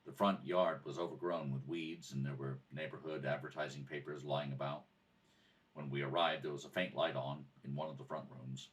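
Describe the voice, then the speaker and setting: A man playing a terse, businesslike, deadpan police detective in an amateur radio play. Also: rumbling low, normal speed, distant mic. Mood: matter-of-fact. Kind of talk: amateur radio drama read-through with clipped narration.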